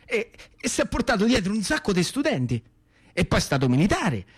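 High-voiced, excitable Lazio country lad. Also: male voice, brisk, husky, exaggerated voice. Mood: eager, naive. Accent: central Italian, Lazio. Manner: colloquial, breathless, repetitive, wide-eyed. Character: naive, lame Italian country youth recalling wartime. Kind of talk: theatrical radio monologue storytelling.

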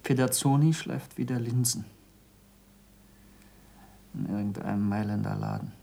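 Stern, creaky-voiced former pupil. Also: male voice, mid-range voice, measured pace, warm tone. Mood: curt, reproachful. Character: earnest male former pupil of a scientist, stern, intense. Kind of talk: German radio play acting.

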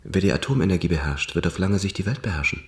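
An actor playing a measured, confident male physics professor in a wartime radio drama, speaking deliberately and formally. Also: deep voice, lively intonation. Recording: roomy, echoing sound.

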